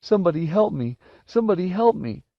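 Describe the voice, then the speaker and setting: A man's voice: sits high, big pitch swings, fairly quick, pitched above the performer's own voice. A man voicing a fat, cowardly young boy in a storybook, sobbing, whining and pleading over and over. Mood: terrified sobbing. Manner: pleading, repetitive. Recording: roomy, dull lo-fi audio.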